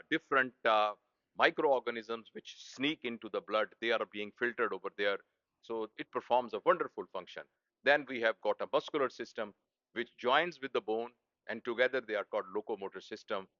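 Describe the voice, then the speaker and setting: A man with a Pakistani accent, a native Urdu speaker, explains things simply as a professor giving a lecture, haltingly rephrasing as he goes. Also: fairly low pitch, fairly bright tone, dry recording, dull audio. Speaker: medical professor presenting an educational channel.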